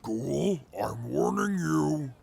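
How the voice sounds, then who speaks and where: A heavily gravelled, slow-speaking super mutant, proud and stubborn. He grumbles in pompous, formal phrases that swing widely in pitch. The setting is a post-apocalyptic audio drama, with faint noise behind. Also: expressive, a little mellow, full character voice. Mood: indignant.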